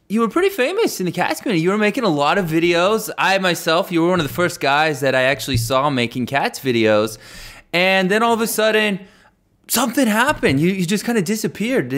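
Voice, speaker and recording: A man's voice: sits high, fairly bright tone. A man interviewing a guest in a casual, playful, joking way, often restarting his questions. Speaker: interviewer from the game's team.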